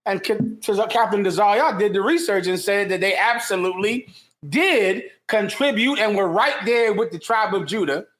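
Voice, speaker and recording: A man's voice: high voice, normal speed, fairly bright tone. A man co-hosting a casual commentary chat, speaking in a preachy, sermon-like way. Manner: sermon-like, religious talk.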